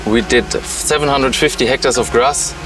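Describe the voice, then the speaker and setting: A man's voice: mid-range voice, moderate pace. A man answering as an operator in a matter-of-fact way, listing figures, recorded from a distance. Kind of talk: casual interview answers. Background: clear background music.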